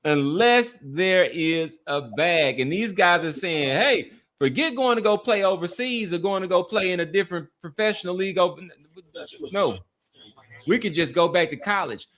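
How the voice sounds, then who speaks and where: A middle-aged American man with a somewhat high-pitched voice talks as a sports podcast host. His delivery is casual and halting. He often repeats words to drive a point home. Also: lively intonation, fairly bright tone.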